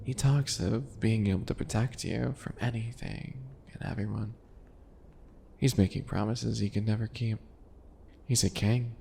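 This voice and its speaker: A man playing a calculating, obsessive potion-maker in an ASMR roleplay, with a deliberate, mocking, theatrical delivery. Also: lowish voice, fairly even intonation, creaky, mellow, a little hiss or hum. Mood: scornful.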